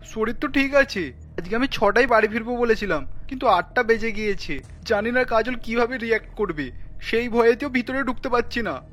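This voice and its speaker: A man's voice: very high voice, expressive, fairly quick, fairly bright tone, faint music behind, full character voice. A man voicing a worried young husband in a cartoon, earnest and pleading as he explains himself. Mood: nervous. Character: worried, placating young husband, anxious around his wife. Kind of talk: cartoon story dialogue.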